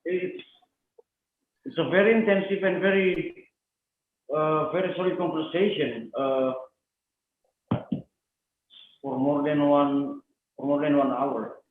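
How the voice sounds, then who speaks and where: A man's voice: on the high side, steady tone, takes it slowly, long pauses. A man moderating a casual online discussion, hesitant and repeating his phrases. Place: echoey room on a video call. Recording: echoey, tinny noisy video call.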